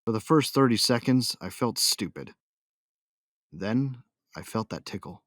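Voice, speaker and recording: A man's voice: mid-range voice, lively intonation, a little slow, fairly bright tone, no room sound, studio quality. A man playing a hot-tempered warrior who narrates his own battles in an audio drama, intense and sardonic. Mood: tense, brooding.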